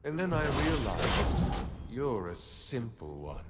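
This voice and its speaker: An actor playing a condescending, menacing immortal emperor in a game cutscene, drawing words out mockingly. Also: male voice, mid-range voice, very animated, very noisy. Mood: mocking menace. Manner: slow, drawn-out, mocking. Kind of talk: dramatic game cutscene acting.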